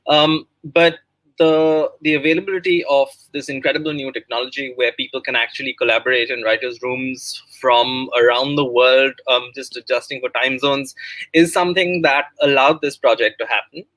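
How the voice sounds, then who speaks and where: A middle-aged man with an Indian accent speaks in a bright, forward voice. The sound is dry and close, and he talks as a writer presenting his work, often halting between phrases. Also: mid-range voice, fairly even intonation, dry recording, poor audio. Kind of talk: pre-recorded talk about own project.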